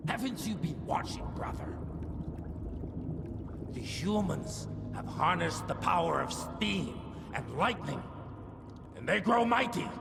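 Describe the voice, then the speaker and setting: A scheming vampire lord in a video game, with a gravelly voice that swoops through a lively range, high for a man. He speaks slowly and grandly. Long reverb and loud music sit behind him. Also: noisy background, exaggerated voice. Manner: grandiose, persuasive. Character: scheming ambitious male vampire lord, middle-aged. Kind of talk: video game cutscene acting.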